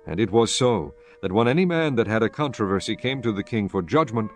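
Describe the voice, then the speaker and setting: A man giving scripted Bible narration in a measured, solemn manner. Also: on the low side, expressive, faint music behind.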